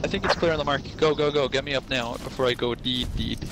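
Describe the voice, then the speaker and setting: A man chatting and joking with his squad during an online shooter match, getting excited when fighting starts. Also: mid-range voice, fast talker, heavy background noise. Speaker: gamer on squad voice chat. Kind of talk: casual game chat. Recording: loud gunfire and explosions behind. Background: game battle sounds.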